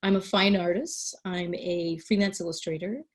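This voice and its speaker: A woman's voice: lowish voice, steady tone, normal speed. A middle-aged woman, enthusiastically chatting on a livestream and chiming in to finish her daughter's sentences. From United States. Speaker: parent guest, artist. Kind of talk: casual livestream chat.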